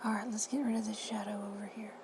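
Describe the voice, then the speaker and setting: A woman with a hoarse, husky voice giving plain, friendly step-by-step instructions in a casual how-to. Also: medium pitch, flat delivery, quick pace. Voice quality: whispery, husky. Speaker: reseller tutorial host. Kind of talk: casual screen-recorded how-to. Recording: noisy home recording.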